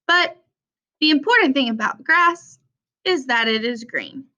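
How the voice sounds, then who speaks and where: A young adult woman reading a children's picture book aloud with simple, deliberate phrasing for young listeners. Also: high voice, lively intonation, pushed and bright. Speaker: read-aloud presenter for children. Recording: dull, lo-fi sound.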